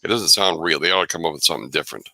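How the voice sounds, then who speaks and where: A hoarse man rambles and curses on a livestream. His voice is very deep and gravelly, with a sharp, bright, dry edge. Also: animated, racing. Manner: rambling, profane. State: losing his voice. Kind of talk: casual livestream chat.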